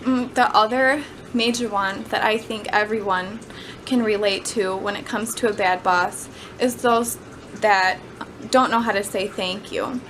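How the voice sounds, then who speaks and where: A woman delivers an informal class speech in a conversational way, with slightly hesitant phrasing. Her voice sits at an average pitch. The amateur camera recording has some noise in it. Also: steady tone, measured pace, a bit bright, poor audio. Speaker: student giving a class speech. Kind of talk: informal prepared speech on personal work experience.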